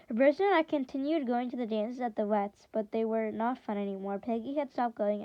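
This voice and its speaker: A woman's voice: medium pitch, no echo, dull audio. A woman reading a first-person story aloud in the manner of a classroom read-aloud, now and then stumbling and repeating words. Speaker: reader reading a book chapter aloud for students.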